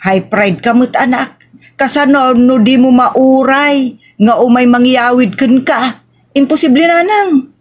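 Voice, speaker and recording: A woman's voice: sits high, a little slow. An older mother played by a woman speaks. She probes and admonishes her grown daughter in family radio-drama style. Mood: admonishing.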